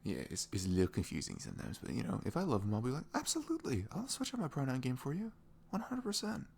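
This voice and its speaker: A young man playing a sweet, caring boyfriend in an intimate ASMR roleplay, rambling casually in slangy, animated speech. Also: medium pitch, fast talker, creaky, slightly rough, exaggerated voice. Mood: earnest, supportive. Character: sweet, caring, chatty boyfriend on a morning after. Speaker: audio roleplay voice actor.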